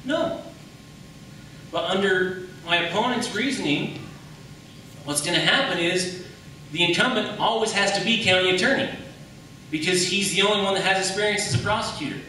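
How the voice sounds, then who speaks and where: A very reverberant, distant recording with faint noise carries an American man debating as a candidate. His voice is bright and forceful, and he argues emphatically, often putting questions and answering them. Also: high-pitched, moderate pace, pauses often. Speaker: defense attorney running for county attorney. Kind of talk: campaign debate speech. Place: echoey hall at a public debate. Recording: very echoey room, distant mic, faint noise.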